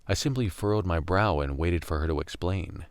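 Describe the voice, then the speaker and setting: A man's voice: low voice, normal speed, smooth, no echo, studio quality, natural voice. A man playing a jaded scavenger who narrates a radio drama in a wry, matter-of-fact, weary way. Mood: dry, resigned. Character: jaded male scavenger in a silent post-virus America, narrating.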